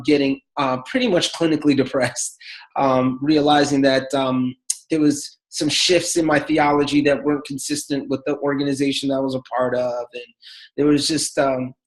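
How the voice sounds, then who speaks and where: A man tells his story as a pastor, loose and conversational. His voice sits a little higher than most men's. He keeps it easygoing, with plenty of ums and little laughs. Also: poor audio. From the Bronx, New York City. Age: 40s. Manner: relaxed and chatty, many fillers, laughs between thoughts. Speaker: pastor, guest. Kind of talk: casual personal storytelling.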